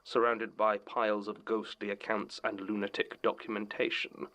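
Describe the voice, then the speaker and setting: A man acting in an audio drama, reading an antique letter in a formal, measured way. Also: lowish voice, flat delivery, pushed and bright.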